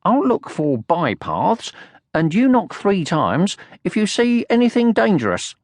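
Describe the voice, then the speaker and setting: A man voicing a small, timid yet boastful young male creature in a children's storybook, whiny, excitable and self-important. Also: sits high, unhurried, no echo, clean studio sound, pitched above the performer's own voice, heavily acted. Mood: bossy. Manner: excitable, screechy, self-important muttering and squealing.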